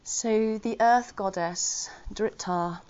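A woman of middle pitch gives an informal talk to her Buddhist community. She is chatty and earnest, with flashes of self-deprecating humour. The recording sounds slightly muffled. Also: slow pace, darkish tone, smooth. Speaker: Buddhist order member giving a talk. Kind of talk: informal Dharma talk to a gathering.

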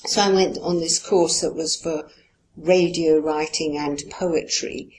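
A woman's voice: sits low, fairly even intonation, measured pace, darkish tone, a little hiss or hum, low-quality recording. A woman poet who grew up speaking Farsi, answering interview questions in a musing, hesitant way with light laughs. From Iran. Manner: musing and hesitant, many "you know" fillers, light laughs.

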